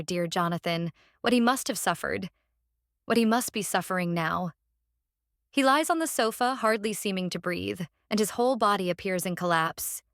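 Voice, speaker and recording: An earnest, devoted young wife voiced by a woman reads from her diary in an audiobook drama. She speaks carefully and deliberately, as if reasoning things out, in a dry, intimate recording at a middling pitch. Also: fairly bright tone, no echo, natural voice. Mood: tender, pitying.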